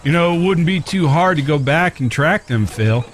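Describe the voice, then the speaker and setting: A man as an easygoing, slightly high-voiced cowboy. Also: animated, moderate pace, slight background noise, close to an everyday voice. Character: easygoing, practical Old West gunslinger brother. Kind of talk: Western audio drama acting.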